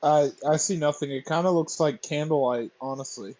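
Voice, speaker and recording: A man's voice: a little high, normal speed, warm tone, dry recording. A man chatting casually as a player in a tabletop roleplaying game.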